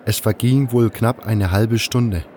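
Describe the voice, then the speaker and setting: Male narrator for a fantasy radio play: lowish voice, storytelling in first person, faint ambient noise underneath. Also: steady tone, measured pace, a little mellow. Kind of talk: scripted audio drama narration.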